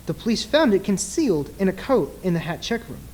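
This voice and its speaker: A man playing an earnest, grave nightclub mentalist in an old-time radio play, speaking formally and deliberately in a husky voice. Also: high voice, very animated, darkish tone, slight background noise. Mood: grave, insistent.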